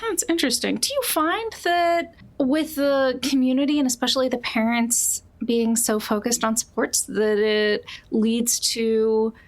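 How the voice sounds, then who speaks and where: Lady doing a polite school district official for a tabletop rpg, highish voice, careful nosy questions, formal and tactful. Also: measured pace, hardly any pauses. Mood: tactfully probing.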